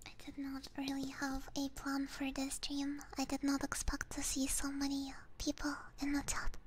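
A woman whispering softly and casually to her viewers as an ASMR livestreamer, close to a binaural microphone. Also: high voice, completely flat delivery, normal speed, noisy background. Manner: soft, hushed whispering.

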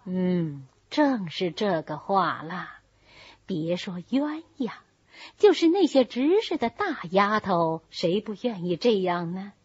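A woman reading a novel voices an older, scheming wife of a wealthy master, speaking in a soft, coaxing manner. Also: medium pitch, sing-song, slow pace, husky, no echo, dull audio, voice pushed down, exaggerated voice. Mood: confiding. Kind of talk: storybook character reading.

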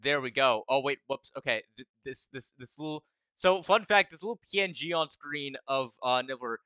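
A man with a deep voice chatting casually on a live game stream, rambling and stop-start, laughing often. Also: normal speed, bright, forceful tone, clean tone, dry recording. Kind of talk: casual live-stream chat while gaming.

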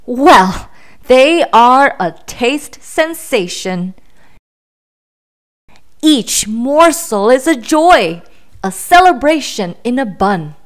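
Woman as a dramatic, slow-drawling, possessive pigeon. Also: on the high side, big pitch swings, exaggerated voice. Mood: rapturous. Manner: theatrical, emphatic, drawn-out. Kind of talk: children's storybook read-aloud.

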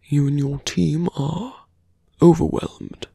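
Suave villain mage for fantasy ASMR roleplay, played by a man: very creaky, breathy, slow and deliberate, mocking with calm menace. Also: on the high side, dry recording, clean studio sound, full character voice. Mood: smug, taunting. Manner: hushed, deliberate, condescending, often dropping to a whisper.

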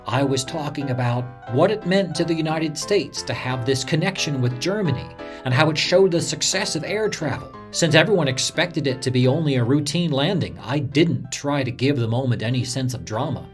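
A man's voice: on the high side, natural voice. A man playing an earnest radio reporter, dramatically recalling a disaster he witnessed, in a first-person story. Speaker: narrator of a radio reporter's memories.

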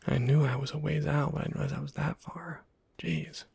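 Male game host for ASMR: slightly high-pitched, very husky, whispered, offhand commentary. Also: fairly even intonation, fairly quick. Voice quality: breathy, whispery, soft-spoken. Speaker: gaming video host.

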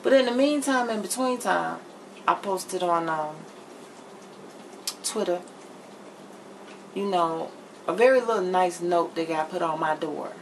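Woman, middle-aged, fry in the voice, slightly low pitch, blunt emphatic chit-chat, home kitchen vlog. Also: a little slow, pauses often, poor audio. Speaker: vlogger. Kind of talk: candid chit-chat to camera while cooking. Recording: noticeable background noise in a kitchen.

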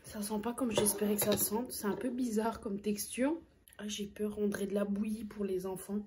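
A woman's voice: mid-range voice, little pitch movement, moderate pace, background noise. A young woman telling a story casually while eating on camera, chatting with lots of hesitant fillers, in a small room close to the camera. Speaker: food vlogger.

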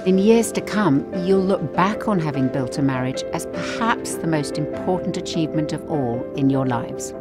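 Low-pitched female narrator over music. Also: moderate pace, hardly any pauses. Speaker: promotional video narrator. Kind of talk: scripted narration.

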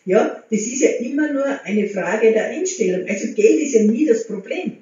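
A woman's voice: fairly high pitch, lively intonation, normal speed. A woman, chatting to camera at home as a motivational vlogger and gesturing as she talks. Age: 60s. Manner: chatty, gestures with hands, warm encouraging tone. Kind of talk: casual talk to camera. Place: living room at home. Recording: very echoey room, slightly dull sound.

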